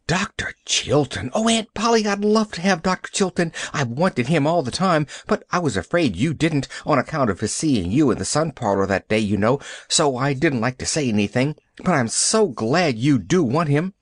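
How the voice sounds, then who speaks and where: A man's voice: a little high, lively intonation, moderate pace, few pauses, no echo, studio quality. A man voicing an eager, cheerful young girl who pleads breathlessly in a storybook reading. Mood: pleading.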